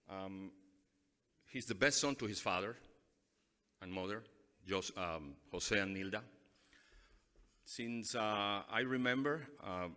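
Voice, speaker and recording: Brief: low-voiced man, earnest improvised gala speech, forceful delivery, halting restarts, reverberant hall PA. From Dominican Republic. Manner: earnest, halting, repeats phrases. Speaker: award honoree giving a speech. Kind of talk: unscripted speech at a benefit dinner. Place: large banquet hall. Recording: long reverb from banquet hall PA.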